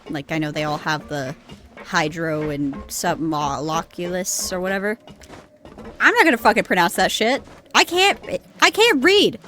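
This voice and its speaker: A woman's voice: lowish voice, fairly bright tone. A young woman streamer chatting casually over her game, swearing often and laughing at her own slip-ups, on a desk mic. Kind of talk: casual gameplay commentary. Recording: game music playing behind.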